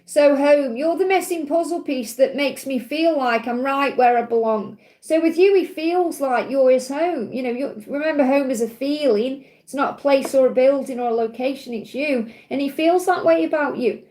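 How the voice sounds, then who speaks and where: High-voiced Stoke woman reading tarot. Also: moderate pace, few pauses, darkish tone. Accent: Stoke-on-Trent English. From England (Stoke-on-Trent). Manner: soothing, reassuring, with frequent "okay?" check-ins. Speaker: tarot reader on a livestream. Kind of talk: live card reading answering viewer questions.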